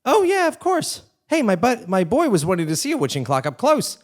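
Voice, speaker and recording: A man's voice: sits high, huge pitch swings, fairly quick, full character voice. A man acting an affable, anxious clock repairman and rebel leader in a comic stage play. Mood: awkwardly polite.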